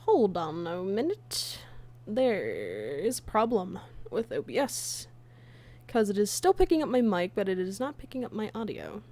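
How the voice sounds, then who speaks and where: A woman streamer talks in a casual, informal way to her viewers. Her voice sits at an ordinary female pitch, and she speaks as if chatting through a livestream. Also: very animated, a little slow, warm tone. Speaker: streamer, fan comic dub creator.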